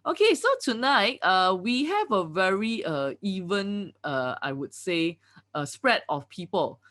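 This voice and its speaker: A woman's voice: mid-range voice, sing-song, measured pace, fairly bright tone. A woman hosting a live online webinar in an upbeat, chatty way, full of "you know, like, uh" fillers, over a video call mic. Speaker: webinar host.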